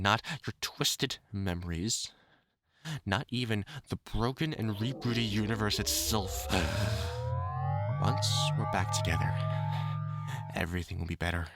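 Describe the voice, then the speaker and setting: A man playing an obsessive, lovesick furred supervillain in a dramatic audio roleplay, speaking intimately to the listener with theatrical endearments. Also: low voice. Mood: tender, obsessive. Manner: pleading, stammering, theatrical endearments. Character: obsessive lovesick male supervillain with fur, desperate and menacing.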